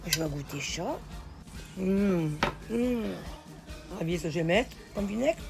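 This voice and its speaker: A woman talks through a recipe in a chatty, familiar way, her voice hoarse and gravelly. She keeps the viewer close with pet names, and music plays behind her. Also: fairly low pitch, animated, unhurried. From northern France. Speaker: home cook. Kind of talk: casual cooking vlog chat. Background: background music.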